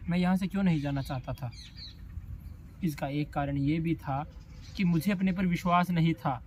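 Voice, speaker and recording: A man performing a solemn theatrical monologue as an anguished, regretful, self-doubting poet, with halting, emotional delivery and dramatic pauses. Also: a little high, a little mellow, slight background noise, natural voice. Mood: reflective regret. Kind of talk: theatrical stage monologue in formal literary Hindi.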